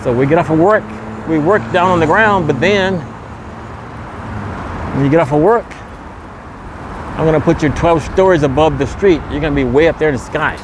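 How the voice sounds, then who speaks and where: A man with a slightly high voice hosts a walking vlog. He speaks in repetitive, promotional catchphrases. He is recorded outdoors on a street, with clear traffic noise behind him. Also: normal speed, pauses often. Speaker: vlogger and host. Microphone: handheld camera. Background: traffic and vehicle noise.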